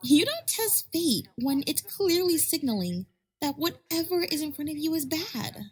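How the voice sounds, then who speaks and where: A woman voicing a stubborn, devoted young pony wife, earnest and breathy, in a fan fiction audio drama. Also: high voice, sing-song, unhurried. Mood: amused. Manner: earnest, insistent.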